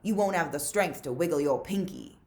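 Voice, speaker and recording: A woman's voice: deep voice, moderate pace, darkish tone, natural voice. A woman voicing a stern, sneering man who oversees a camp in a storybook, curt and mocking.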